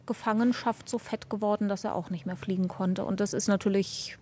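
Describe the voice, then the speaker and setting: A woman's voice: mid-range voice, fairly even intonation, brisk, a little mellow, slight background noise. A woman answering interview questions as an expert, explaining things in colloquial, informal language.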